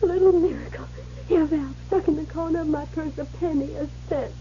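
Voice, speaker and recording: An actress playing an anxious, devoted wife in an old-time radio drama, speaking with emotional urgency. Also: female voice, sits high, brisk, warm tone, slight background noise, close to an everyday voice. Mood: frantic. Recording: muffled old radio broadcast, music behind.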